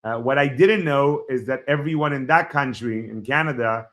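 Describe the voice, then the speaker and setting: Man, 40s, Hebrew speaker, podcast guest, reflective storytelling, halting with fillers, medium pitch. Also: a bit bright. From Israel. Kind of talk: personal storytelling in an interview.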